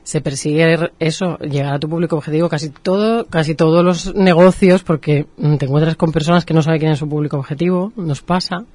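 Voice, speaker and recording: A woman's voice: sits low, a little fast, few pauses, no room sound. A woman with a Spanish accent from Spain, a marketing professional explaining things patiently on a radio show. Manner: explains patiently, with lively examples. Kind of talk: explanatory interview talk.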